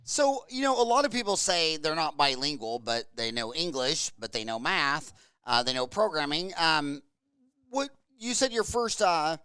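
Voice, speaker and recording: A man hosting a conversational podcast interview, putting his questions in a halting way with repeated restarts. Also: a little high, expressive, pushed and bright, clean tone, dry recording.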